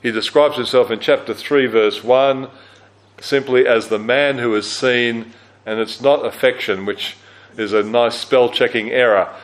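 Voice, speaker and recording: Man, low register, mid pitch; conversational Bible teacher at a church camp, loose and unscripted, with fillers. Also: steady tone, measured pace, rough recording. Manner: conversational, with frequent fillers and self-corrections. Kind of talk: teaching a Bible study talk.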